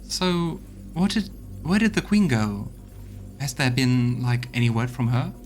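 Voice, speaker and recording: A man's voice: medium pitch, measured pace, darkish tone, soft background music. A man giving live commentary on a game as he plays and reads along as a streamer. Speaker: let's play streamer. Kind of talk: live game commentary, reading dialogue aloud.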